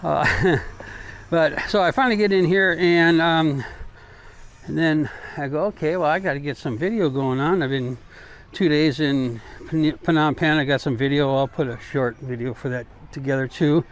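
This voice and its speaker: A man's voice: fairly high pitch, moderate pace. An American man doing a casual, rambling travel vlog while walking, talking in an informal, folksy way. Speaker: travel vlogger. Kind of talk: casual rambling walk-and-talk chat. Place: outdoors, walking along city streets. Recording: clear outdoor street and traffic noise.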